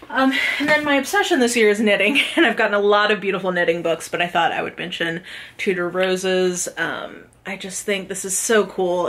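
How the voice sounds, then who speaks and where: A woman's voice: fairly low pitch, fairly bright tone. A woman talking informally to viewers in a chatty, slangy book vlog style, with lots of "like" sprinkled in. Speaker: book vlogger, host. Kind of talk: casual chat to camera, book review.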